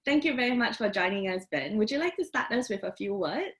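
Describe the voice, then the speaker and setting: A woman's voice: medium pitch, animated, brisk, a bit bright. A woman hosting and moderating a casual interview, introducing guests and asking questions. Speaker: host, moderator.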